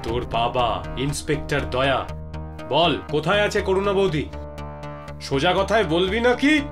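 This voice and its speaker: A bold young police inspector speaks in a fairly high voice with commanding swagger. He sounds protective and cocky, like a crime cartoon hero, with music clearly playing behind him. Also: expressive, unhurried. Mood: threatening. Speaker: voice actor. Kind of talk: dubbed animated crime story dialogue.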